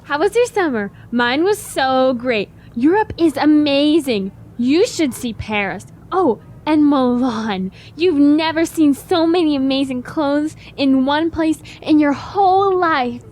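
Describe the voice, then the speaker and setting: A woman's voice: high voice, animated, measured pace, few pauses, darkish tone. A woman acting a bubbly, materialistic teenage girl in an audio drama, gushing over her words. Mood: excited, gushing.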